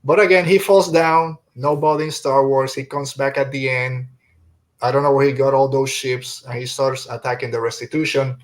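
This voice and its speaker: Male podcast host for a casual review discussion; average pitch, loose and rambling delivery.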